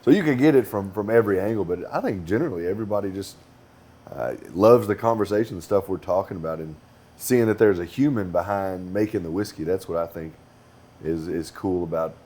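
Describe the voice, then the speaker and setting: Deep-voiced distiller, laid-back panel talk, feeling his way through each point. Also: a little fast. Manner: relaxed, thinking aloud with restarts.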